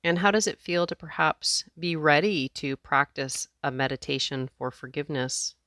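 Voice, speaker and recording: A woman teacher leading a guided meditation in a gentle, measured way, leaving space between phrases. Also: low-pitched, fairly even intonation, no echo, clean studio sound.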